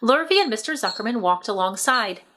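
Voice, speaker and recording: A woman narrating a children's book aloud in an expressive, scripted storybook style. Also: fairly high pitch, a little fast.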